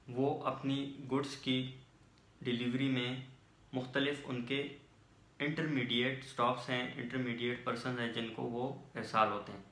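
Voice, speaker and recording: Bright-voiced Pakistani man lecturing, roomy echo. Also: mid-range voice. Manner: methodical, switches between English and Urdu. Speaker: law lecturer and channel host. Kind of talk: explanatory lecture, reading statutes aloud then explaining. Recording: roomy, echoing voice recording.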